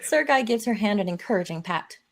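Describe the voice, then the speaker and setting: Lively woman narrating a script. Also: medium pitch, fairly quick. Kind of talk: scripted narration, live amateur table read.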